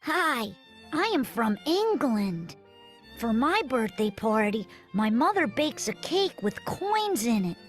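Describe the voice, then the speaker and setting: A woman reading simple lines for a children's learning video in a bright, sing-song way. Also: a little high, big pitch swings, slow pace, faint music behind. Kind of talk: scripted narration for children.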